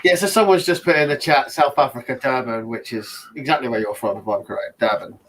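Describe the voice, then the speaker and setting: A man hosting a live-streamed panel chat, friendly and relaxed, often repeating his phrases. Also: medium pitch, fairly quick, slight background noise. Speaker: host.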